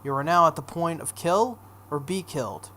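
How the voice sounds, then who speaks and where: A man's voice: a little high, moderate pace, bright, forceful tone. Ominous priest for a visual novel read by a male player: solemn, grave, oracular, with light noise behind. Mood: grave warning. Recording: noticeable background noise.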